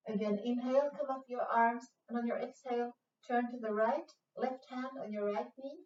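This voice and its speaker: A woman from Sweden with a Swedish accent, a native Swedish speaker, teaching a yoga class in English, speaking slowly and patiently with frequent hesitations. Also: on the high side, steady tone, a little mellow, echoey room, far from the mic, lo-fi sound. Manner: slow, patient, with many hesitations. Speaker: yoga instructor. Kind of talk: guided yoga class instruction.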